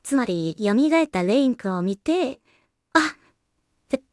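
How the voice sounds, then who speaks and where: A woman with a slightly high voice talks slowly in a close, dry recording. She thinks aloud casually as she works, murmuring to herself in a hesitant way and adding polite asides for whoever is watching. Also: animated, a little mellow, no echo. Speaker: solo animation creator and streamer.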